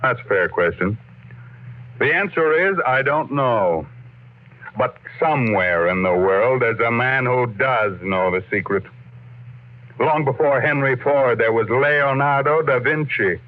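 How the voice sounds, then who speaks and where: A man's voice: mid-range voice, a little slow, natural voice. Casting: male actor for ruthless, commanding industrial tycoon, declamatory 1950s radio style, narrow vintage broadcast sound. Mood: determined, grandiose. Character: imperious, ruthless tycoon obsessed with conquering space flight. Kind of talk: 1950s radio drama acting.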